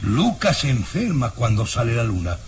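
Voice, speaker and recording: A man's voice: medium pitch, very animated, normal speed, a little hiss or hum, lightly acted. An actor playing a treacherous baron and father who feigns concern, in old radio drama style with theatrical, persuasive urging. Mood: urgent, feigned concern.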